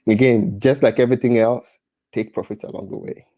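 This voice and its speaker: A man's voice: medium pitch, steady tone, a little fast, warm tone, no echo. Man with a Ghanaian accent on a livestream. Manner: restarts and repeats words, reads out viewer comments. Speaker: livestream host. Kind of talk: casual livestream chat.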